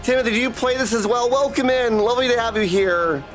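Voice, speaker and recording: A man with a high voice hosts a casual game livestream. He chats freely and greets viewers by name. Faint music plays behind him. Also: fast, a bit bright.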